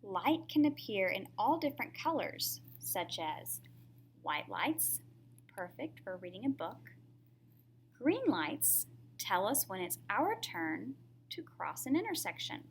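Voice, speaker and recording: A woman's voice: mid-range voice, very animated, takes it slowly, slight background noise. A woman reading a picture book aloud to young children in a teacherly way, often putting questions to the listener.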